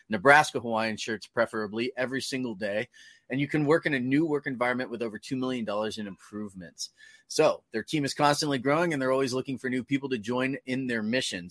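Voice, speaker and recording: Male co-host for sponsor read: American, average pitch, scripted copy, dry close sound. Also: a bit bright, dry recording. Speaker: co-host reading sponsor spot.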